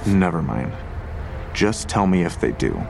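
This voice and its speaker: Male detective, weary, curt, creaky low voice, sardonic, audio drama, music behind. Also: very animated, unhurried, full character voice. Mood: curt, dismissive.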